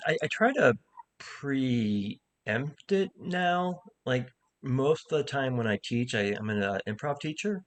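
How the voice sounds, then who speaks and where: A man's voice: mid-range voice, expressive. A man who stutters, an improv teacher and comic, talking candidly in a casual conversation with many repeated words and restarts. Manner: candid, halting, thoughtful.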